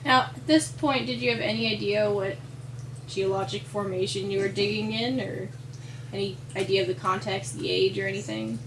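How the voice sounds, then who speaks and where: A woman's voice: medium pitch, steady tone, normal speed. A woman interviewing a guest, prompting him with short questions and encouraging asides. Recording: echoey room with audible noise.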